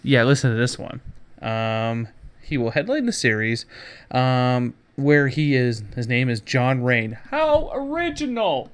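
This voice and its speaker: A man's voice: mid-range voice, sing-song, a little slow, smooth. A man hosting a geek news podcast, giving casual, jokey commentary with sarcastic asides and theatrical emphasis.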